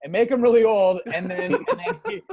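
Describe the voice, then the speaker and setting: A man on a podcast panel chatting casually and pitching ideas, explaining in a halting way and repeating phrases. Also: huge pitch swings, dull audio.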